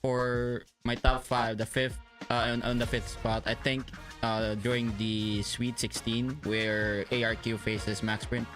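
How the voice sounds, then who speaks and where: Male announcer, mid pitch, casual and hesitant. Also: flat delivery, measured pace, fairly bright tone, soft background music. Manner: hesitant, many uh and repeats. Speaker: league announcer. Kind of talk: casual sports talk.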